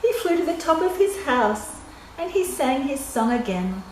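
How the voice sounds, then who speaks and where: A woman's voice: sits high, very animated, moderate pace, darkish tone. An older woman reading a picture book aloud to children, expressively, with simple asides for young listeners. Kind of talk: children's story read-aloud. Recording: roomy echo with clear background noise.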